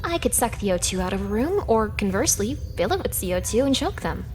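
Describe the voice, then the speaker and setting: A woman's voice: medium pitch, sing-song, fast talker, darkish tone, soft background music, natural voice. An actress playing a sardonic, teasing young female hacker in a sci-fi video game, speaking in a matter-of-fact way. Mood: smug, menacing. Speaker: video game character actor. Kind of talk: scripted video game character dialogue.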